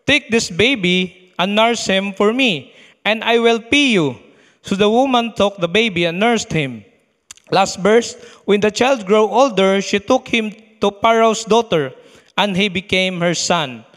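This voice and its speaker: A man with a Filipino accent and Tagalog as his first language, preaching at a church with a halting, slightly nervous, reverent manner, recorded in a reverberant hall. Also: high-pitched, fairly bright tone. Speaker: church preacher, first-time guest speaker. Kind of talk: bilingual sermon with prayer and scripture reading.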